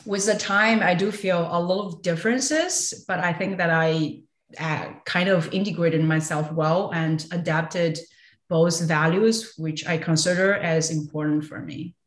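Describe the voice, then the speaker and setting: A woman from China, in her thirties, answering podcast questions hesitantly with many pauses and light laughs. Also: fairly low pitch, reverberant. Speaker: guest entrepreneur.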